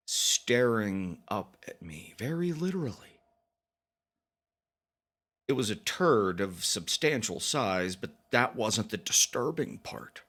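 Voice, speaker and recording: A man telling a first-person story as a dramatic confession, with deliberate pauses and mock-grave, theatrical emphasis. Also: lowish voice, fairly bright tone. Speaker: storytelling podcast narrator.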